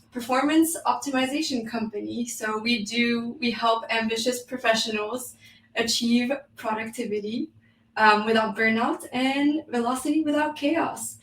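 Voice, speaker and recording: A woman in her thirties with a French accent talks in a loose, chatty way, dropping "um" and "like" often. Her voice sits on the higher side. She is recorded a little away from the mic in a roomy, echoing space. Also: a bit bright, recorded from a distance, a little hiss or hum. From New York City, United States. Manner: chatty, with frequent "um" and "like" fillers. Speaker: guest. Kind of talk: casual interview.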